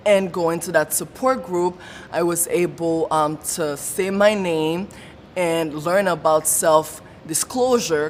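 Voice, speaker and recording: A woman telling her own story of living with a stutter, with repeated sounds, blocks and pauses. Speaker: support group member sharing her story. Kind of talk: personal testimony. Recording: long room reverb with faint low rumble.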